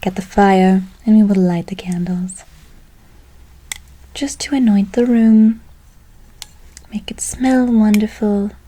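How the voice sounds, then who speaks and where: A woman playing a gentle, doting witch in a whispered ASMR role play, slow and soothing with a breathy voice, repeating words softly. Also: medium pitch, slight background noise, lightly acted, rough recording. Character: gentle doting forest witch casting a spell. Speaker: ASMR performer.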